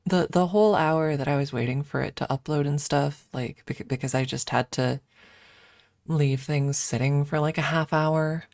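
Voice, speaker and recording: A woman streamer chatting casually in a soft, hesitant ASMR style with playful self-deprecation, close to the microphone. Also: low-pitched, steady tone, normal speed, gravelly, dry recording. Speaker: ASMR livestreamer. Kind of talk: ASMR, casual rambling chat.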